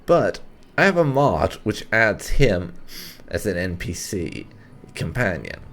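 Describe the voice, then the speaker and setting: A man's voice: lowish voice, big pitch swings, slow. A man giving casual let's-play commentary in a wry, explanatory, sweary way. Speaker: gaming video host.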